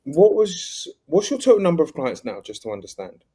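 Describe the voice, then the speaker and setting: A man's voice: on the high side, wildly swooping pitch, a little fast, mellow, husky. A man hosting an interview, asking rambling questions that he rephrases as he goes. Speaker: interview host.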